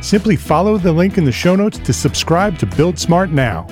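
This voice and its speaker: A man's voice: fairly high pitch, very animated, moderate pace, over music. A man working as a podcast host, delivering scripted introductions and interview questions with an emphatic, promotional manner.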